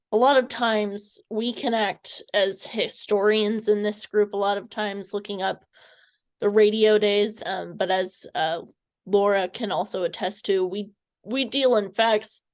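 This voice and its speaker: A woman interviewing someone in a conversational way, feeling her way through sentences with hesitant, halting phrasing. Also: medium pitch, steady tone. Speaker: interviewer, fan club member.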